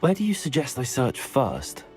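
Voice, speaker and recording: A man's voice: mid-range voice, huge pitch swings, normal speed, a little mellow, faint music behind. A man playing a polite, confident teenage wizard student in a video game, with a British accent and formal wording. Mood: curious, polite. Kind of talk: video game cutscene acting.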